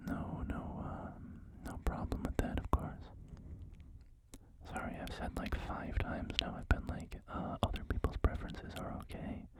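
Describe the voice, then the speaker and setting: Slow, rambling ASMR whisperer. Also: rough recording. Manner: rambling and apologetic, many fillers and restarts. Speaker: gaming ASMR creator. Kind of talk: whispered ASMR gameplay commentary.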